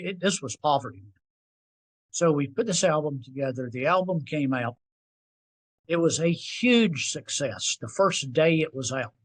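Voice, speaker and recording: A man in his sixties with a Southern US accent, a podcast guest telling stories in an animated, self-deprecating way. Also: medium pitch, rough recording. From North Carolina. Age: 60s. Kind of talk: storytelling interview.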